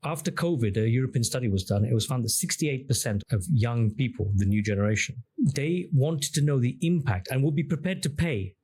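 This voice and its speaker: A middle-aged man with Greek as his first language speaks as an interview guest, expansive and fond of asking his host questions to make a point. His voice has a husky edge, and his pitch sits in a plain middle range. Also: lively intonation, a little fast, hardly any pauses, mellow. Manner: expansive, explains with questions and examples. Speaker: podcast guest, engineer and app founder. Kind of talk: conversational interview.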